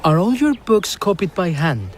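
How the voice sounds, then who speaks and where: A man's voice: sits high, very animated, normal speed, slight background noise. An actor playing a polite, earnest, bookish young man, a traveller in a radio drama.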